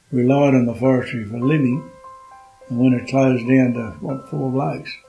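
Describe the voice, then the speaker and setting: An older Australian man with a rough, gravelly edge tells stories of the old days in a plain, laconic way. He halts now and then over fillers. Faint music sits under his voice. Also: mid-range voice, darkish tone, low-quality recording. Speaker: interviewee, retired forestry worker. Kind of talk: casual reminiscing.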